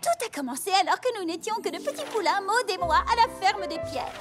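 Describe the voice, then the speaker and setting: A woman voicing an excitable, gushing young female pony in a dubbed children's cartoon, eager and dramatic. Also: very high-pitched, fairly quick, over loud music. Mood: earnest storytelling. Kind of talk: animated children's cartoon dub.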